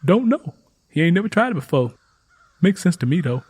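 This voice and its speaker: Man voicing an eager, high-pitched Southern country girl. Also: very animated, fairly quick, darkish tone, slightly rough, exaggerated voice. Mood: earnest, chatty. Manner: folksy rural dialect, eager. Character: pleading hungry Southern country girl, earnest, chatty. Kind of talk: audiobook character voice.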